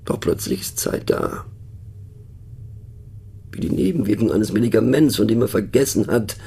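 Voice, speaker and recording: An actor playing a middle-aged, pensive and mocking narrator in a radio play monologue, talking haltingly and wryly as if to a listener. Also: male voice, fairly low pitch, mellow. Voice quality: husky, gravelly. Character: musing, mocking male narrator pondering abstract words.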